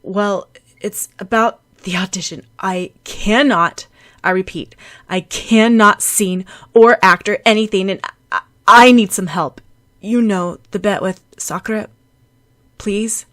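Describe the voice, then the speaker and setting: A woman's voice: mid-range voice, fairly bright tone. A woman voicing an awkward, proud teenage boy who stammers and gets flustered, in an audiobook story. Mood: embarrassed, pleading. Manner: stammering, halting.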